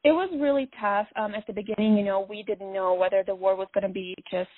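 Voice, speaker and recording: A woman's voice: mid-range voice, fairly even intonation, fast, no echo. Woman guest on a tinny phone line. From Bosnia. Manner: earnest, reflective, with frequent fillers. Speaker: podcast guest. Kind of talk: phone interview.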